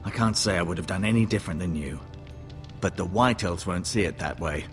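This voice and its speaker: A male actor plays a castle maester in a fantasy game, a grave middle-aged man with a lowish voice. He speaks formally and in measured phrases, musing aloud and passing judgment as he works. Music plays faintly underneath. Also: natural voice. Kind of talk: fantasy video game voice acting.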